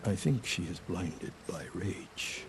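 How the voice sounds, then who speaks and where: Mild, protective monk for a game drama, deep hushed voice with lively inflection, ambient noise around. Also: male voice, slow pace, exaggerated voice. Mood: gentle, worried. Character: gentle, cautious male monk, protective and doubtful. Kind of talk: dramatic video game acting. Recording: noisy outdoor ambience behind dialogue.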